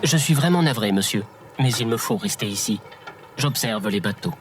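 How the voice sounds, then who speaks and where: An actor voicing a gentle, courteous robot in an audio drama, polite and placid. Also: male voice, on the low side, expressive, normal speed, a little hiss or hum, lightly acted. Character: gentle, courteous male metal robot who watches boats.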